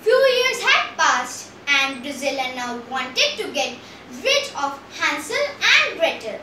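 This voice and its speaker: A storyteller telling a fairy tale in an expressive, theatrical way. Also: high voice, takes it slowly. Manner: theatrical, animated delivery. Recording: echoey room, distant mic, faint noise.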